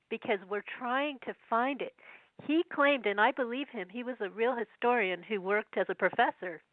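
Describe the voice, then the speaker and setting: A woman with a cold, her voice going, speaking as a guest over the phone and reading old verses slowly between chatty asides. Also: a little high, no room sound. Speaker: guest researcher. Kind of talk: phone interview, reading prophecy verses aloud. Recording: tinny phone line.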